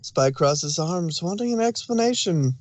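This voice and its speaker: Higher-pitched man narrating a story. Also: animated, moderate pace.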